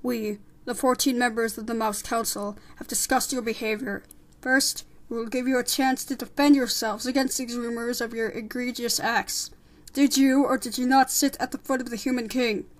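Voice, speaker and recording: A woman voicing a stern, formal elder male mouse who presides with deliberate authority in a storybook. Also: fairly high pitch, fairly even intonation, close to an everyday voice. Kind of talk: audiobook character voice.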